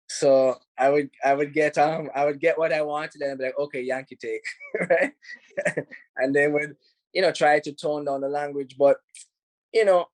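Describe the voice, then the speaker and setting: A man with a Jamaican accent, a film director answering interview questions in a relaxed, rambling way, full of "you know"s. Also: on the high side, no room sound. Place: home in Los Angeles.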